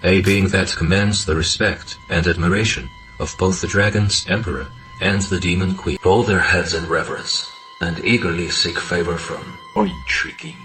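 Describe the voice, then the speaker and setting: An actor playing a cold, calculating pavilion master in an anime English dub, speaking in a low, measured, commanding way. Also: male voice, steady tone, a bit bright, faint music behind, poor audio. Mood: scheming. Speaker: sect leader.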